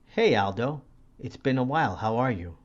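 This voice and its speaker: A man's voice: mid-range voice, expressive, moderate pace, dull audio, lightly acted. A man playing a composed, serious asylum doctor in an audio horror drama. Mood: guarded friendliness.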